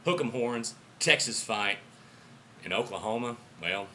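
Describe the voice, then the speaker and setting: An American man talks like a fired-up sports fan vlogger, with a very bright, forceful voice that has gone hoarse from yelling. He stresses his points and often repeats them. A faint noise sits behind his voice. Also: medium pitch, unhurried. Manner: emphatic, repeats himself, swings between excited bursts and quieter asides. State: hoarse voice after yelling through a game. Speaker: football fan vlogger and alum of the team he supports. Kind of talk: impassioned sports fan commentary to camera.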